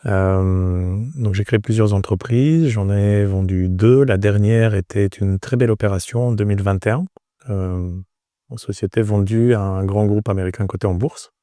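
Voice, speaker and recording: A man in his forties from Romania gives conversational interview answers. His voice is low and soft-edged, recorded close and dry. He often pauses and repeats words as he searches for phrasing. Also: no echo. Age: 40s. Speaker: entrepreneur, interview guest.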